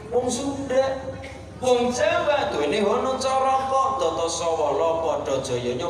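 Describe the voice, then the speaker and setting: A man with a Javanese accent preaching a folksy Islamic sermon, emphatic and repetitive, with rhetorical questions and Quran quotes. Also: sits high. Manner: emphatic, pausing, repetitive delivery. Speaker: preacher at an Islamic study gathering. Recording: echoey PA reverb, distant, noisy gathering.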